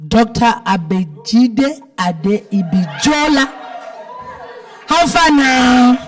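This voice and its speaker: A woman's voice: fairly high pitch, expressive, takes it slowly, faint music behind, some chatter behind. A woman with a South African accent and a big, heavy-timbred voice, hosting a live event with chatty, teasing, informal banter. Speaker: event host. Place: event venue with a dinner audience. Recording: live event, distant from the mic.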